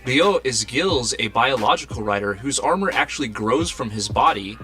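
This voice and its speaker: A man narrating a scripted explainer video in a brisk, informative way. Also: mid-range voice, lively intonation, bright, forceful tone, soft background music.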